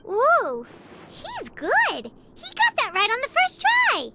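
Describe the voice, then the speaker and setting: Bubbly little game fairy: extremely high, squeaky voice, hugely animated delivery, music behind. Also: female voice, slow pace, very noisy, exaggerated voice. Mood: cheerful, impressed. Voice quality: squeaky, childlike. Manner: exclamatory, speaks of self in third person. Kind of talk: video game character acting.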